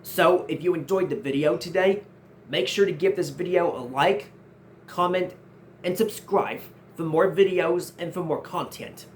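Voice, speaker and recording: A man's voice: a little high, unhurried, slight background noise. A nineteen-year-old man hosting his own channel, earnestly answering viewer questions and often repeating and restarting his phrases. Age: 19, nearly 20. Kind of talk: casual Q&A vlog.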